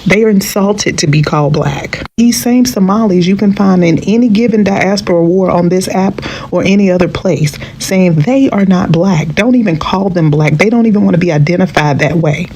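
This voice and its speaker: A woman's voice: fairly low pitch, normal speed, hardly any pauses, darkish tone, a little hiss or hum. A woman delivering a blunt, insistent social media rant in an indignant way.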